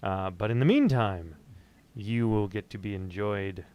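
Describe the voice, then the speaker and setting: A man with a fairly low voice chats as a podcast host. His delivery is casual and loose, full of joking, profane banter. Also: unhurried, darkish tone, clean tone. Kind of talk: casual livestream chat.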